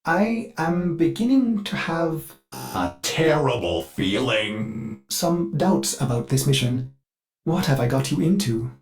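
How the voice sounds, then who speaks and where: An actor voicing a devoted ship computer AI in a video game, fussy, polite and eager with violent outbursts, through glitchy synthetic processing. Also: male voice, on the high side, big pitch swings, slow, exaggerated voice. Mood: anxious, troubled. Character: fussy, devoted ship computer AI, male, with flashes of bloodthirsty rage. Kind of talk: scripted video game character lines.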